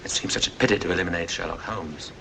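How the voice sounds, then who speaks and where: An actor playing a suave, calculating villain in a 1940s film, talking in a formal, deliberate way. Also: male voice, sits low, creaky. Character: suave, calculating male villain, regretful yet ruthless. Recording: noisy old 1940s film soundtrack.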